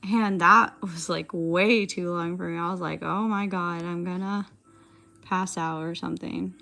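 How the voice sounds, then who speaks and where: A young woman with a raspy, dry-throated voice, chatting casually and rambling with lots of fillers as she draws. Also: fairly low pitch, steady tone, a little slow, pushed and bright, faint music behind. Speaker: digital artist streamer. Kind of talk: casual chat while drawing.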